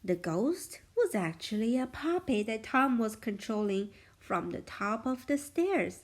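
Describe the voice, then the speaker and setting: A woman reading a children's storybook aloud as a parent would, expressively, with a hushed sense of suspense. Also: fairly high pitch, slightly rough.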